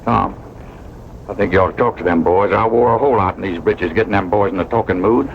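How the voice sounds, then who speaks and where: An actor playing a talkative, wheedling cowhand peacemaker with a rural western American accent in an old drama. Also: male voice, fairly low pitch, fast, muffled recording, lightly acted. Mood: coaxing. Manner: folksy, wheedling, colorful. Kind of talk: mid-century western drama acting. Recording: noisy old western soundtrack.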